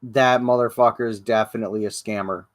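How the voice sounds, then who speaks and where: A man's voice: fairly low pitch, steady tone, brisk, no echo, clean studio sound. A man hosting a casual livestream podcast, rambling and profane, with many ums and restarts. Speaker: podcast host. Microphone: livestream podcast mic.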